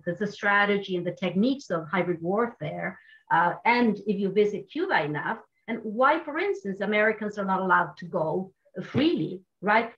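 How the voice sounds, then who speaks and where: Older Argentine-accented woman on a video call, lively panelist, muffled audio. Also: medium pitch. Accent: Argentine Spanish. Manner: animated, with frequent fillers and restarts. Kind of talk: online panel discussion. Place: home office with wall maps.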